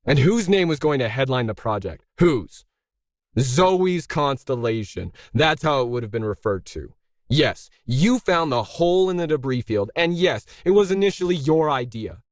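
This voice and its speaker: A man's voice: mid-range voice, lively intonation, moderate pace, no room sound, studio quality, pitched above the performer's own voice, natural voice. A man voicing a bitter middle-aged male artist in a story, indignant, pressing and sarcastic.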